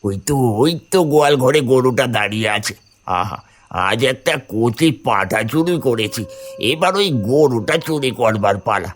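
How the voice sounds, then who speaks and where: A man's voice: mid-range voice, lively intonation, normal speed, close to an everyday voice. A man voicing a sneaky, cowardly livestock thief in a cartoon, repeating himself and stammering out exclamations. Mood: sly, gleeful. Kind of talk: cartoon voice acting.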